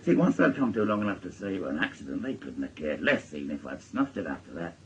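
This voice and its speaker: A man's voice: low voice, sing-song, fast, dull audio, slight background noise. An actor playing a stubborn, hot-tempered middle-aged working-class father with a Northern English accent in a naturalistic radio drama. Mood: wry, weary.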